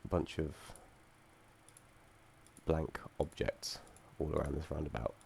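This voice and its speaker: Croaky young man walking through code. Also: very deep voice, level tone, slow, darkish tone. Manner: hesitant, many ums and restarts, dry asides. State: croaky from feeling unwell. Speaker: student presenting his game project. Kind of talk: screen-recorded code walkthrough.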